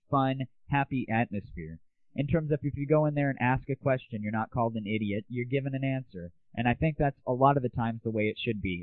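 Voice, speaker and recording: A man's voice: mid-range voice, no echo. A young man hosting a casual solo audio show, opinionated and irreverent, with mild swearing. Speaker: podcast host. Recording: lo-fi phone-like audio, music underneath.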